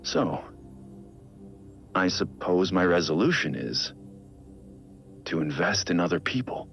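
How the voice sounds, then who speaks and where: Brooding vigilante in a game audio log: extremely low, gravelly, weary and deliberate, muffled recording over music. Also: male voice, big pitch swings, full character voice. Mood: weary, self-reflective. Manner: deliberate, understated. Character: brooding, weary billionaire vigilante man, measured and introspective. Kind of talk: video game audio log, reflective monologue.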